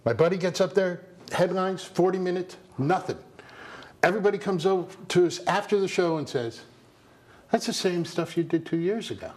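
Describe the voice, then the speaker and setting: Dry self-deprecating comedian. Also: male voice, high-pitched, expressive, normal speed, a little mellow. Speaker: stand-up comedian guest. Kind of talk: casual chat, telling stories.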